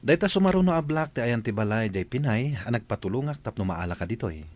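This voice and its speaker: A man's voice: medium pitch, animated, quick pace, a little mellow, lightly acted. A man playing a composed, welcoming employer and father in an Ilocano drama, with a conversational air of authority. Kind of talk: Ilocano radio-style drama acting.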